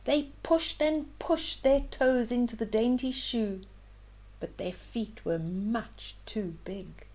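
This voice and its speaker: An older woman reading a fairytale aloud to children in an expressive way, with simple explaining asides to young listeners. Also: on the high side, slow pace. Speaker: children's storyteller, host.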